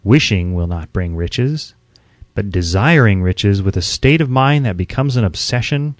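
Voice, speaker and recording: Man, scripted audiobook narration, animated pitch movement, measured and emphatic, instructional, very dry acoustic. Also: no room sound.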